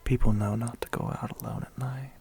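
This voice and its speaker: A man's voice: medium pitch, steady tone, a little fast, creaky, warm tone, husky. A man playing a smug, menacing, centuries-old vampire biker in an ASMR roleplay, whispering breathily with a condescending, teasing manner. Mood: smug warning.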